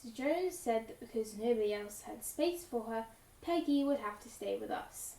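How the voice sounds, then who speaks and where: A woman's voice: a little high, expressive, moderate pace, warm tone. A woman playing an innocent, outspoken young farm daughter in a wartime audio play, with echoey room sound. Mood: matter-of-fact.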